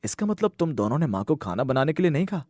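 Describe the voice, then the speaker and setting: An earnest young son from a cartoon moral story, played by a man, with a fairly high male voice in a dry recording. He talks directly and familiarly, protective of his family. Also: sing-song, fast talker, darkish tone, dry recording. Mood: scolding. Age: young adult. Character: earnest young working sons, protective of their mother. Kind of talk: scripted cartoon story dialogue.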